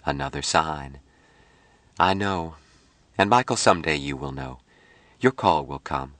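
A man voicing a kindly, devout middle-aged lady in an audiobook story, motherly and earnest, with a soft, solemn, folksy manner. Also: a bass voice, animated, unhurried, no room sound, exaggerated voice.

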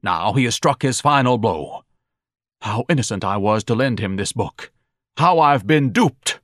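A man's voice: medium pitch, animated, measured pace, a bit bright, dry recording, clean studio sound. A man voicing a pompous, self-pitying grown son in an audiobook, declaiming in florid, haughty phrases. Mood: outraged, melodramatic. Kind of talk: audiobook character dialogue.

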